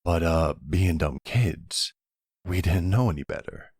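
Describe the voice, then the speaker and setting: Man playing a deep, gravelly flirty mafia boss. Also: measured pace, darkish tone, no echo, clean studio sound, heavily acted. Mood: amused reminiscing.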